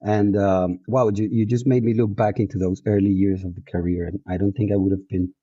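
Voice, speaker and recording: Colombian-accented guest, a man, lowish and very warm, reflective and chatty, dry close audio. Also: flat delivery, a little fast, slightly rough, dry recording. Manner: reflective, frequent restarts and fillers, laughs easily. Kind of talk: casual interview chat. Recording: dull, muffled audio.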